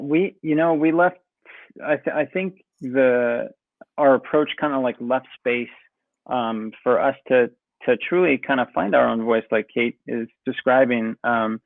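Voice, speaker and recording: Man, gravelly voice, tinny phone-like sound, dry, relaxed rambling talk. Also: mid-range voice, dry recording. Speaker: music producer. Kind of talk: casual interview chat. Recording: tinny phone-like call audio.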